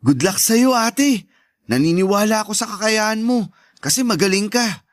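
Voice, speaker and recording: A man's voice: high voice, lively intonation, moderate pace, no echo, studio quality. An actor playing a humble, grateful young man talking to his older sister in a Tagalog radio drama, earnest, with a Filipino accent.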